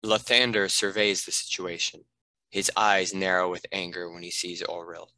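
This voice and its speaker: A man's voice: deep voice, level tone, normal speed, pushed and bright, dry recording, poor audio. A man reading scripted narration and stage directions for a fantasy script read.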